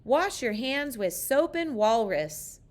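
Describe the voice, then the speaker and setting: Storybook schoolteacher, acted by a woman: silly and cheerful, high-pitched, swooping sing-song announcements. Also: sing-song, slow. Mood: playful, sing-song.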